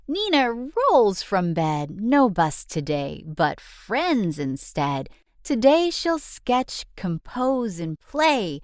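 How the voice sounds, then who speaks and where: A woman reading a rhyming children's book aloud in an expressive, sing-song storyteller's delivery. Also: medium pitch, dry recording, studio quality.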